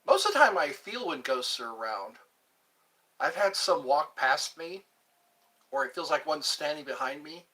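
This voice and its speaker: A man's voice: a little high, animated, normal speed, bright, forceful tone, breathy edge. A man in his fifties hosting a rambling livestream, chatting to viewers and breaking into snatches of old pop songs. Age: 50s. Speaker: livestream host. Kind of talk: rambling livestream chat with singing.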